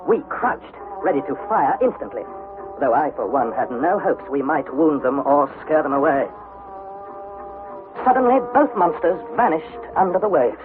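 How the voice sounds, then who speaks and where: An actor playing an earnest young adventurer, narrating perils theatrically in a vintage radio drama. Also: male voice, on the high side, sing-song, moderate pace. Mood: suspenseful. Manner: theatrical, suspenseful. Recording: narrow-band vintage radio broadcast, music behind.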